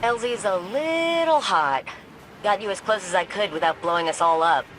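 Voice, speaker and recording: A woman's voice: medium pitch, lively intonation, moderate pace, noisy background, natural voice. An actress playing a wry, determined woman scientist and adventurer in a video game, with a casual, dry delivery. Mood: wry, focused.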